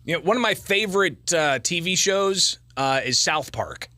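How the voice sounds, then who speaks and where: A man's voice: on the high side, animated, moderate pace, pushed and bright, no room sound. An American man hosting a live radio call-in show, chatting casually into a close studio mic. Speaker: radio talk show host. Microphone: close broadcast studio mic.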